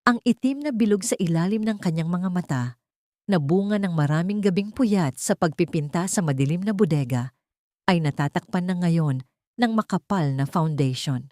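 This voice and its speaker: A woman's voice: on the low side, normal speed, dark tone, no echo, clean studio sound. A woman reading a scripted dramatic love story as its narrator.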